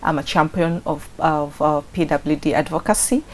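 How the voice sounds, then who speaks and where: Chatty Kenyan coastal woman, lowish voice. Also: moderate pace. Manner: chatty and animated, with restarts. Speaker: interview guest. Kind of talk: casual interview.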